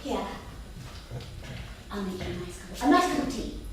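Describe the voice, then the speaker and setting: A woman's voice: medium pitch, sing-song, normal speed, natural voice. A woman acting an affectionate but evasive mother in a staged reading, gushing and hesitant. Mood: awkward, wistful. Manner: gushing, hesitant, repeating herself. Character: flighty, affectionate, evasive mother who left her family. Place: theatre stage before an audience. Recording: echoey theatre from far off, faint noise.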